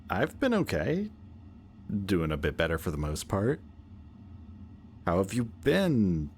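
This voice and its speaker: A man acting a possessive, anxious antihero and devoted best friend in an emotional, halting audio roleplay addressed to the listener. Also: low-pitched, huge pitch swings, darkish tone, a little hiss or hum, exaggerated voice. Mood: tender, nervous. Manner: halting, hesitant, earnest.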